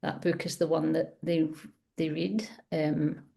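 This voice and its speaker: A woman's voice: low voice, steady tone, unhurried, creaky, warm tone. A middle-aged Scottish woman, a children's author, chatting in an interview, laughing often and poking fun at herself. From Scotland. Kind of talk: casual interview chat.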